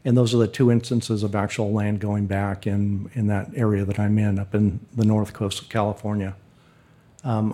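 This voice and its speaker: A man with a low voice speaking unscripted as a panelist, plain-spoken and somewhat halting. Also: mellow. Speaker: panelist, state park official.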